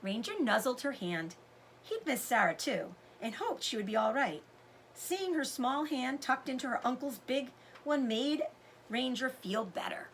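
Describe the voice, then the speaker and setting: A woman giving an expressive read-aloud narration of a children's novel. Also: high-pitched, a little slow, slight background noise, poor audio.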